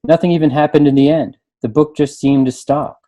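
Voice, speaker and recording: A man's voice: fairly high pitch, steady tone, fairly quick, mellow. A man chatting casually on a book panel and reading short written reviews aloud.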